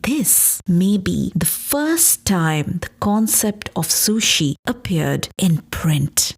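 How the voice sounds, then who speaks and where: A woman narrating a scripted story as a podcast host, in a measured, expressive explanatory way. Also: lowish voice, creaky, husky. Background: background music.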